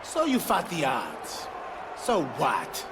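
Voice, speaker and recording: A man's voice: a little high, huge pitch swings, slow pace, full character voice. A man playing a boastful, preening villain wrestler in a video game cutscene, taunting and bragging. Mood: scornful taunting. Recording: clearly noisy background ambience.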